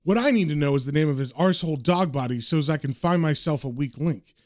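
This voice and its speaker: A man's voice: on the high side, expressive, fast talker, dry recording, natural voice. A man voicing a cynical British occult detective in a dry, muttered, slangy way, as in a comic book story. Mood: menacing.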